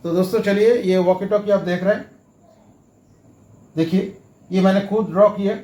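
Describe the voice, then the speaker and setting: Male how-to presenter, notably high voice, informal step-by-step delivery with repetition, recorded with roomy echo. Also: steady tone, normal speed, warm tone. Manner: explains step by step, often repeating phrases. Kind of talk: informal explainer lesson. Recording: echoey room sound.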